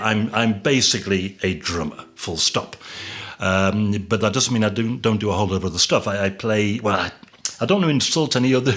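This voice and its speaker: A middle-aged man from the north of England speaks in a fairly low voice. He chats casually and at length, often restarting his sentences and making light of himself. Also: a bit bright. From Yorkshire, England. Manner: chatty, rambling, self-deprecating with frequent restarts. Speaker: guest drummer and music teacher. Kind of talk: casual interview chat. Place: at home on a sofa.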